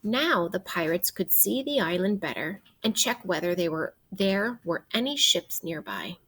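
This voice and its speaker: A woman teacher reading a children's book aloud in an expressive way, guiding young listeners with questions and pauses. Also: on the low side, slightly rough. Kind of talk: interactive read-aloud of a children's nonfiction book.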